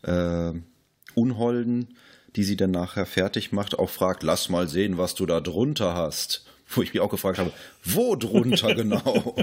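A man's voice: deep voice, very animated, a little slow, a little mellow, husky. A man podcast host talking in a casual film discussion.

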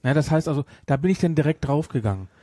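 A man's voice: a little high, animated, fast talker, warm tone, dry recording, clean studio sound. A man co-hosting a podcast in casual chat, often breaking off and correcting himself mid-sentence. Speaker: podcast co-host. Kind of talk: casual chat about audio dramas.

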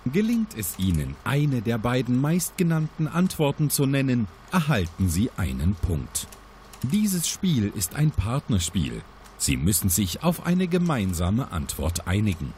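An actor playing a formal agent-training instructor from the future in a scripted audio drama briefing. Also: male voice, medium pitch, wildly swooping pitch, a little slow, darkish tone, slight background noise. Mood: formal, instructive.

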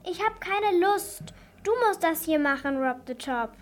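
A woman's voice: very high-pitched, expressive, a little slow. A female performer playing a messy, lazy, whiny youngster in a children's radio play, exclaiming and complaining. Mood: whiny, annoyed.

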